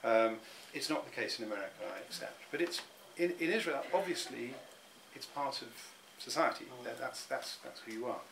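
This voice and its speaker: A man's voice: medium pitch, animated, moderate pace, gravelly, few pauses, a crowd in the background, poor audio. A middle-aged man, speaking in an interview and often restarting his words as he thinks. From England. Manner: thoughtful, many restarts and repeated words. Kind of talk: reflective personal interview.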